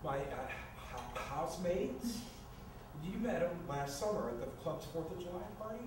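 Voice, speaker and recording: A man's voice: on the high side, animated, normal speed, natural voice. A man playing a flustered, exasperated adult son in a staged screenplay reading, stammering, defensive and sarcastic. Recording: echoey room, distant mic, noisy.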